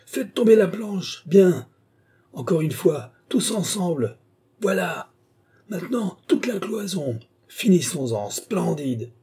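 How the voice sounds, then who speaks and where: A man's voice: medium pitch, flat delivery, moderate pace, few pauses, warm tone, breathy edge. A man voicing an army officer who gives commanding, urgent orders. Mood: rallying shouts. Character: brave male army officer rallying people.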